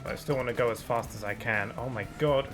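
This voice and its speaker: A man streaming a game, chatting casually and thinking aloud, a bit sniffly from hay fever, with bursts of excitement. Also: medium pitch, a little fast, music in the background. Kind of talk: casual gaming commentary.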